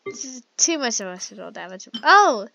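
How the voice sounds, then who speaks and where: A woman giving casual live gameplay commentary in an excitable, slangy, rambling way. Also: on the high side, moderate pace, dull audio, slight background noise. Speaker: game streamer. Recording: game music behind voice. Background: game music.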